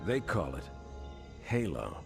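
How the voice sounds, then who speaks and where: An actor playing a grave, resolute starship captain who speaks with authority in a dramatic game cutscene. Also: male voice, low-pitched, very animated, slow, gravelly, loud music behind the voice, full character voice. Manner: authoritative, giving orders.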